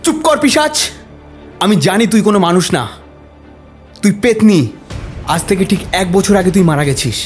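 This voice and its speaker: A man's voice: high-pitched, lively intonation, moderate pace, pauses often, soft background music. A man voicing an angry young husband in a cartoon, accusing sharply.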